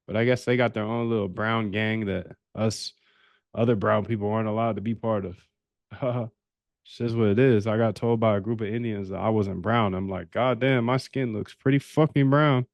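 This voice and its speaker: A man's voice: lowish voice, fairly even intonation, moderate pace, vocal fry, no echo. A man hosting a live coding stream, chatting loosely with viewers, joking and swearing as he works. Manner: loose, profane, joking banter with chat. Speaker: livestream host coding a trading bot. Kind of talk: casual live-stream chat.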